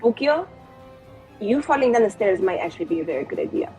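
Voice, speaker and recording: A woman's voice: mid-range voice, very animated, fast talker, mellow. A woman playing a tabletop roleplaying game, improvising in character in a playful, informal way. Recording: background music.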